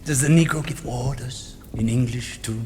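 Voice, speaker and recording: An actor playing a sadistic, cultured Nazi officer, pompous and theatrical in a video game drama, over a noisy mix. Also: male voice, mid-range voice, a little mellow, close to an everyday voice. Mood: smug menace. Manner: pompous, menacing, slow and theatrical.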